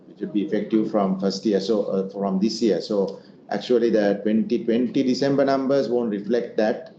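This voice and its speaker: A man's voice: on the low side, mellow. A man answering investor questions as an executive leading an earnings call, in a semi-formal way. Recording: muffled, echoey room with noise.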